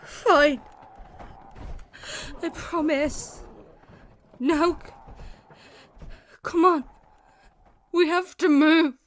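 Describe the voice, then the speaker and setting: A woman playing a distraught, stubborn young survivor in an audio drama, halting and emotional. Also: sits high, steady tone, a little hiss or hum. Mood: reluctant, pleading.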